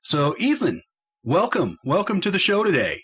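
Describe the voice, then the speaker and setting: A man's voice: high voice, wildly swooping pitch, moderate pace, fairly bright tone, breathy edge. A man hosting a radio show in a casual chat style.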